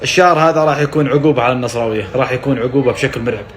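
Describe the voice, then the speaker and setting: A man giving a sarcastic reaction to a football result and mocking the team's coming run of games. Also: medium pitch. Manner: sarcastic, rapid-fire ranting. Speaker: football fan commentator.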